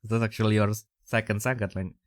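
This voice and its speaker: A man from Indonesia rambles through a relaxed podcast chat and leans on plenty of fillers. His voice sits a bit low, and the sound is close and dry, with no room around it. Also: fast talker, dry recording. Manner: rambling, many fillers, frequent laughter. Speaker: podcast co-host. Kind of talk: casual chat.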